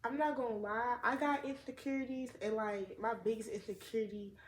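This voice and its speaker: A woman's voice: fairly high pitch, steady tone, a little fast. A teenage girl with a slight lisp, chatting casually and rambling in slang as a vlogger, recorded on a tablet. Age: teens. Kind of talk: casual chat to camera. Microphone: tablet camera mic. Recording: echoey room, faint noise.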